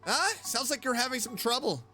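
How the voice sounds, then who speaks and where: Bright, animated woman streamer. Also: fairly high pitch, brisk, soft background music. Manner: reactive, chatty. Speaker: VTuber game streamer. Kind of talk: casual let's-play commentary.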